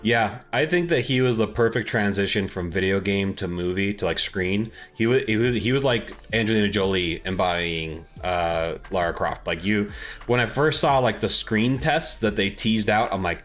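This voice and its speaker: A man's voice: on the low side, normal speed, a bit bright. A man co-hosting a podcast, chatting casually about films and games in an informal, slangy way. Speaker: podcast co-host.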